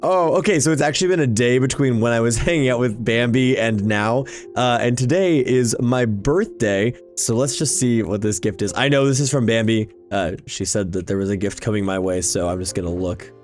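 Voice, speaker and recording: A man's voice: mid-range voice, fairly quick, hardly any pauses, a little mellow. A man giving casual, enthusiastic gameplay commentary, chatting and rambling as he hosts. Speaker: video game YouTuber, host. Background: background music.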